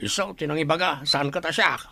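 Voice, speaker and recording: A man's voice: fairly high pitch, a little fast, creaky, sharp tone, no room sound, heavily acted. A man playing a plain-spoken henchman guard in an audio drama, uneasy and deferential. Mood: reporting, deferential. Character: deferential male henchman guard, uneasy but loyal to boss. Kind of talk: Ilocano audio drama acting.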